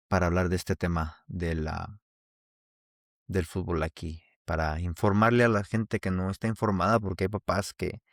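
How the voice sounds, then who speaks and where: A man's voice: low-pitched, creaky, no room sound, clean studio sound. A man from Mexico with a Mexican accent, hosting a casual solo podcast and talking in a rambling way with many restarts. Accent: Mexican Spanish. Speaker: podcast host.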